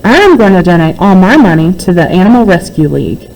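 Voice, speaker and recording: A woman's voice: fairly low pitch, sing-song, fairly quick, a little mellow, a little hiss or hum, low-quality recording. A woman voicing a determined, competitive young schoolgirl in a storybook, emphatic and short in her replies. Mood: guarded.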